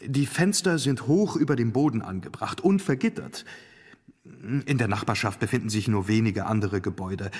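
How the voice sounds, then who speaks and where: Guy playing young lawyer in a radio play, husky, polite n businesslike, lots of hall reverb. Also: mid-range voice, lightly acted. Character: polite young English solicitor, dutiful and deferential. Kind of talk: German radio drama acting.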